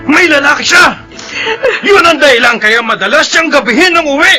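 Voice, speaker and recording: A man acting a violent, jealous husband and father in a radio drama, shouting accusations and threats. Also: very high-pitched, expressive, moderate pace, faint music behind, heavily acted. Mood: furious.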